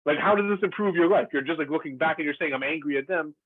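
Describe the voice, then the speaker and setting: A man's voice: fairly high pitch, quick pace, dry recording. A man from Israel, a former lecturer, discussing at length in a thoughtful way with many fillers and restarts over a video call. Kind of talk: earnest discussion. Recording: tinny, dry call audio.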